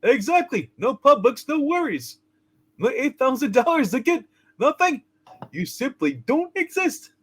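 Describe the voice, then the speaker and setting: Kindly eccentric old angel, super high voice all over the place, fussy n polite, radio play style. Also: male voice, sing-song, unhurried, breathy edge, exaggerated voice. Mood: delighted, explaining. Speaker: channel co-host. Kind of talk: hammed-up radio play reading.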